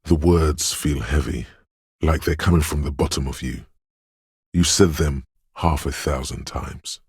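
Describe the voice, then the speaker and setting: Spooky dreamy gut-feeling voice for a video game, super deep and really husky, dry close sound, poetic and hushed. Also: male voice, measured pace, no echo, full character voice. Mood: brooding, mysterious. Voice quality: husky, gravelly bass. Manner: hushed, ominous, poetic, lingering on short phrases. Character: eerie, dreamy inner voice of a detective's intuition. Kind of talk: atmospheric second-person video game narration.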